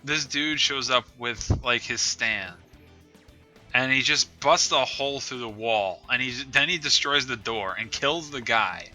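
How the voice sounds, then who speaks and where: Man, very bright cutting voice, casual review banter, incredulous, sweary, music behind. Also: medium pitch, measured pace. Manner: sweary, incredulous, laughs often. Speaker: podcast co-host.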